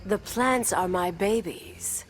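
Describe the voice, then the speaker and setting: A woman voicing a seductive, venomous villainess who rules plants in a video game, with sultry, taunting, drawn-out phrasing. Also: medium pitch, soft background music, close to an everyday voice. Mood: defiant, flirty. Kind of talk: video game character dialogue.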